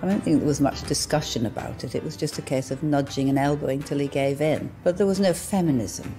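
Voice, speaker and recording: A woman's voice: low voice, brisk, warm tone. An older woman interviewee speaking wryly and candidly, recorded from a distance with faint music behind. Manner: wry, candid reflection. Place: furnished room, indoors.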